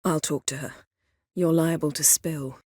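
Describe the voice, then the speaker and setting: Low-voiced imperious sorceress. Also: female voice, fairly even intonation, measured pace, a little mellow, dry recording, clean studio sound. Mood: composed, dry. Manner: crisp, imperious, dryly sardonic. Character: proud, sharp-tongued sorceress, commanding, dry and guarded. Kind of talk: fantasy video game voice acting.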